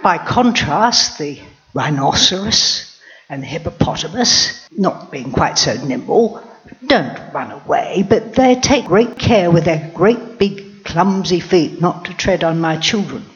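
A woman narrating in character as a proud, wry lioness queen in a storybook tale, heard in a dull lo-fi recording. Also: fairly low pitch. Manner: wry, lively, drawn-out delivery.

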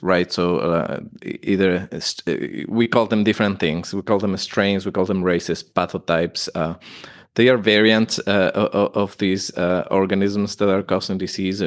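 A middle-aged man from Ecuador with an Ecuadorian Spanish accent, a plant pathologist explaining his research as a guest, hesitant with many fillers and restarts. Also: low voice. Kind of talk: conversational interview.

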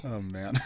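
A man's voice: on the low side, a little mellow, a little hiss or hum. A man co-hosting a podcast in casual chat, laid-back and self-mocking, with a bit of swearing. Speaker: podcast co-host.

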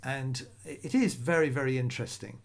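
A man's voice: mid-range voice, normal speed, gravelly. A middle-aged man hosting a solo podcast, talking in a conversational, rambling way with frequent restarts. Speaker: podcast host.

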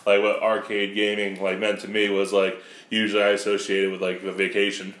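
Deep, flat, silky-voiced man. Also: a little fast, echoey room. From New York. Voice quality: silky bass voice. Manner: laid-back, brief replies. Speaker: podcast co-host. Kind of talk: casual chat.

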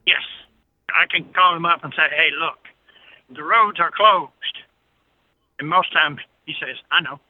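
Veteran trucker guest, male, 60s. Folksy, plainspoken storyteller, slightly high pitch, thin phone-call audio. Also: a little slow, pauses often, no echo. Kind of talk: casual anecdotal storytelling. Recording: narrow tinny phone-line sound.

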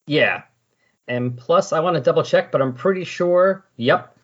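Middle-aged man, husky voice, chatty podcast host, informal explaining, slightly muffled lo-fi audio. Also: on the high side, unhurried. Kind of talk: casual comic book discussion. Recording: dull lo-fi voice recording.

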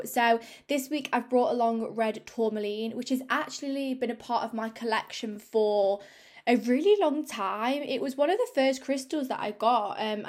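A young woman hosting her own fitness podcast, chatty and upbeat with many fillers, a little croaky from a cold. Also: on the high side. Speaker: podcast host and fitness coach. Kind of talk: casual solo podcast talk.

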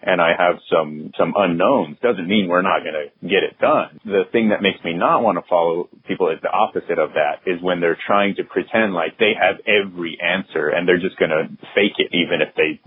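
A man's voice: deep voice, brisk, hardly any pauses, slight background noise. A man hosting a casual interview who rambles and keeps interrupting himself. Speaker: host. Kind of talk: conversational interview. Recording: tinny phone-like line.

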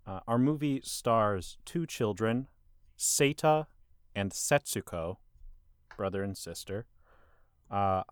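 A man's voice: fairly low pitch, smooth, no echo, clean studio sound. A man hosting a casual comedy podcast, running a movie quiz with jokes and frequent laughter. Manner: jokey, laughs often, quiz-master phrasing.

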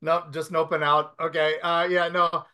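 A man's voice: on the high side, little pitch movement, moderate pace, sharp tone, clean tone. A man hosting a podcast, reading listener emails aloud and joking with his co-hosts. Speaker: podcast host. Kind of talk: casual group chat, reading listener emails.

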